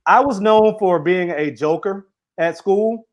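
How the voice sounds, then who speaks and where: A man's voice: high-pitched, measured pace. A man giving an earnest interview as a guest author and speaker, running his sentences together with fillers. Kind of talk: casual interview conversation.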